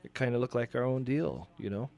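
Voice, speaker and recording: A man's voice: medium pitch, a little fast, vocal fry, dark tone. A man hosting a radio show, chatting casually and laughing easily into a studio microphone.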